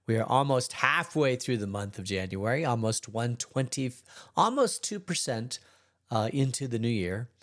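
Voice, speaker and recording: A middle-aged pastor with a hoarse, congested voice, leading a relaxed, rambling Bible study. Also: male voice, mid-range voice, normal speed. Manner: relaxed, rambling, chuckles between thoughts. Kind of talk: casual daily Bible study talk.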